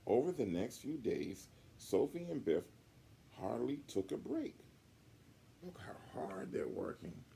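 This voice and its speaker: A man reading a picture book aloud to children in an animated way, with chatty asides. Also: medium pitch, slow, vocal fry, a little hiss or hum. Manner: chatty asides to young listeners.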